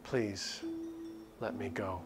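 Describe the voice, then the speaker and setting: A man's voice: lowish voice, fairly even intonation, slow pace, gravelly, music in the background. A man playing a frightened, sardonic captive. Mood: weary pleading. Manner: pleading, sardonic. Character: frightened, weary captive with bitter sarcasm.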